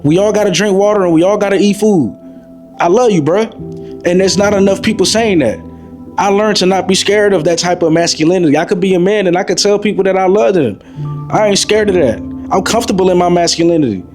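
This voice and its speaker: A man's voice: high voice, quick pace, darkish tone, faint music behind. A man in his twenties giving a preachy, repetitive motivational monologue, calling the listener bro. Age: 20s. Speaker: motivational vlogger.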